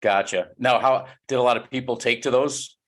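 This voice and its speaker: A man's voice: medium pitch, fairly even intonation, normal speed, a bit bright. A man co-hosting a podcast, asking friendly questions with frequent stumbles and restarts. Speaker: podcast co-host. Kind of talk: casual podcast chat.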